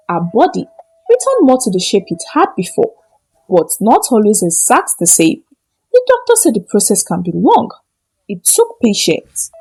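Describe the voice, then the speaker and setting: A woman narrating a scripted moral folktale in short, deliberate, dramatic phrases. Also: medium pitch, a little mellow, husky, low-quality recording. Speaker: storyteller.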